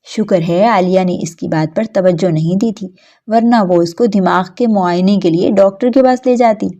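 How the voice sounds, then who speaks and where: A woman's voice: mid-range voice, dark tone. A woman storyteller reading scripted narration of a story for children.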